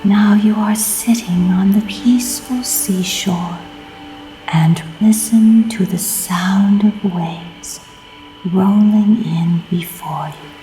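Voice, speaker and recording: A woman narrating a scripted guided meditation in a soft, breathy, often near-whispered voice, calm and soothing, in short deliberate phrases. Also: mid-range voice, poor audio. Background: music.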